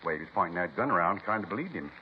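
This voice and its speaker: Earnest, simple male butcher, deep folksy voice, plain-spoken, 1950s radio acting on a dull, hissy recording. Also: lively intonation, rapid-fire.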